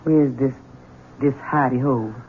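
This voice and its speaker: An actress playing a bitter, fearful but deferential household servant in an old-time radio drama, earnest and husky. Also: female voice, rumbling low, measured pace, a little hiss or hum. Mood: subdued. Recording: tinny, narrow-band vintage radio recording.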